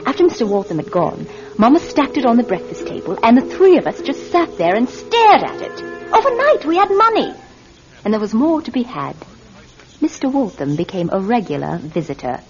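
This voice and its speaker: A cheeky British teenage girl in an old radio play, excitable and storytelling. Her high voice moves around a lot, with loud music behind it on a muffled recording. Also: fairly quick. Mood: awed narration, then wistful narration. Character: cheeky, excitable teenage daughter who narrates the tale. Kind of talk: classic radio drama acting and dramatic narration.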